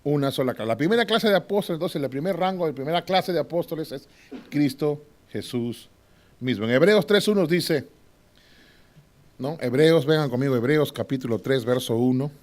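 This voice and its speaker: Man preaching a lively Bible class. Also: a little high, normal speed. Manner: swings from loud to hushed, with short laughs. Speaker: Bible teacher. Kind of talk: classroom sermon teaching.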